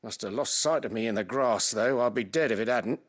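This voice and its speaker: A man's voice: medium pitch, a little fast, close to an everyday voice. A man playing a humble, talkative leather craftsman in a video game, wry and self-deprecating. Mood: wry, chatty. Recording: game music under the voice.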